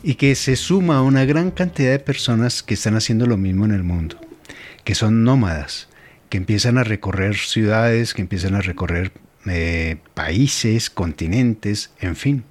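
Low-voiced middle-aged radio host. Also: male voice. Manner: reflective, halting with frequent fillers. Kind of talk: radio interview.

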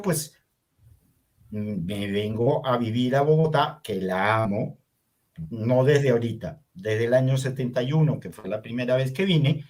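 An older man, raised speaking Hungarian, talks through his story in an interview. His voice sits at an ordinary middle pitch, and he pauses often with fillers as he thinks. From Venezuela. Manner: reflective, unhurried storytelling with many fillers. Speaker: artist guest. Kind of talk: conversational interview.

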